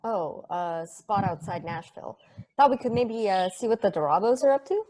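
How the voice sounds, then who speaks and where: A woman's voice: medium pitch, expressive, moderate pace, mellow, close to an everyday voice. A woman playing a laid-back, food-obsessed space probe in an amateur table read of a play. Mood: casual musing.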